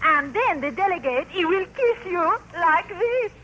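An actress playing a theatrical French language teacher in a 1940s radio comedy, with an exaggerated put-on French accent. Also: female voice, very high voice, expressive, a little slow. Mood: coquettish. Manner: exaggerated, coquettish. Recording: hissy old radio broadcast with audience.

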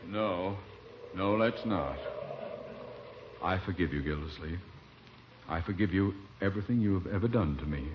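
An actor playing a pompous, sarcastic, excitable gentleman in old-time radio comedy style. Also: mid-range voice, moderate pace, natural voice. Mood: sentimental. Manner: pompous, sardonic, melodramatic. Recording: dull old radio recording, some noise.

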